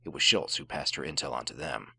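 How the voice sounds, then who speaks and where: Casting: a weary American commando, rock-bottom rasping growl, dry and clipped, audio drama. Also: male voice, very deep voice, fast, pushed and bright, dry recording, heavily acted. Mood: grim. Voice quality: very gravelly growl. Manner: terse, dry. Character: gruff, world-weary male special forces operative. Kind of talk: radio drama acting. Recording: music bed under dialogue.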